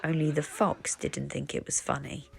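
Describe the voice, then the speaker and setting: A woman reading a children's book aloud as an expressive, deliberate storyteller. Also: sits low, creaky, slightly rough, soft background music. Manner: animated, deliberate.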